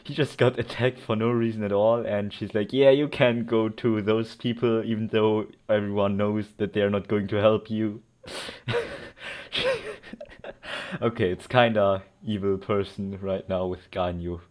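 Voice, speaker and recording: A man's voice: on the low side, steady tone, a little slow, darkish tone. A young man giving casual, giggly, rambling commentary while playing a game, often sarcastic. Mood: animated. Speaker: gamer playing on stream. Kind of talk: casual let's-play commentary.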